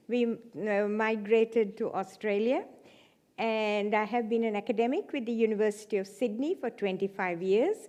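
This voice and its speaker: A woman's voice: medium pitch, darkish tone. A woman in her seventies from Sri Lanka, giving a chatty church talk with self-deprecating humour. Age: 70s. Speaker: guest speaker. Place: church hall.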